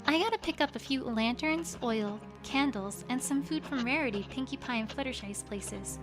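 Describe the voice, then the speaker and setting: A woman's voice: high-pitched, moderate pace, natural voice. A woman voicing an anxious young female unicorn scholar pony, reading her diary in an earnest, fretful first-person way. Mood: weary, worried. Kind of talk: journal read aloud as a fan audiobook. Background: music.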